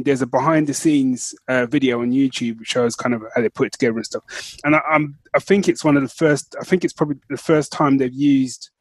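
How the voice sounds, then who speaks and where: A man's voice: mid-range voice, husky, dry recording, low-quality recording. A man co-hosting a podcast, chatting casually as he reviews shows, choosing his words carefully and repeating phrases for emphasis. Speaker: podcast co-host.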